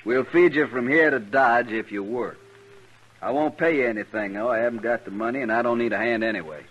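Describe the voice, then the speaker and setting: An American actor playing a gruff, plain-spoken trail boss in a 1950s western radio drama, blunt and folksy with dry humour. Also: male voice, mid-range voice, brisk, a bit bright, natural voice. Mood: blunt, guarded. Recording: noisy, muffled old radio recording.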